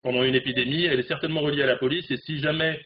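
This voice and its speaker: A man in his forties reporting as a correspondent on a remote news discussion, animated, speaking from a room at home over a webcam. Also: on the high side. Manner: fast, animated, talks with hands. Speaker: correspondent in South Korea. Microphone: video call webcam. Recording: dull video call audio, faint noise.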